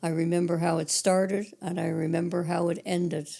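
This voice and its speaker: Hoarse, gravelly older woman. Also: lowish voice, flat delivery, normal speed, warm tone. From Cape Breton Island, Canada. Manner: matter-of-fact, detailed recollection. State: hoarse, raspy voice. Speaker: guest, retired 911 dispatcher. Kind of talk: casual storytelling interview.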